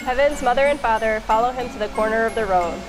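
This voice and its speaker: Higher-voiced woman reading a children's story. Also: fast talker, music in the background, rough recording. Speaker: storyteller reading a picture book aloud. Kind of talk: expressive children's story narration.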